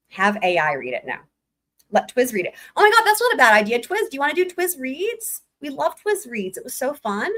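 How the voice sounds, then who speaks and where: A woman hosting a casual livestream, excited and rambling, her voice going hoarse. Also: a little high. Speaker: livestream host.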